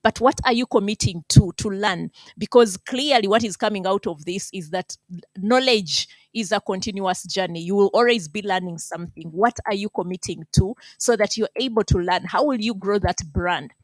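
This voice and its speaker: A woman's voice: mid-range voice, moderate pace, no room sound. A woman moderating a webinar, conversational and encouraging, repeating key phrases for emphasis. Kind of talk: conversational summing up and motivational prompts.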